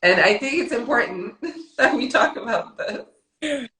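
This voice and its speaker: A woman's voice: fairly high pitch, lively intonation, unhurried, echoey room, noisy background. A woman hosting a podcast interview, encouraging her guest with short affirming replies. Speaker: podcast host. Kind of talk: casual interview talk.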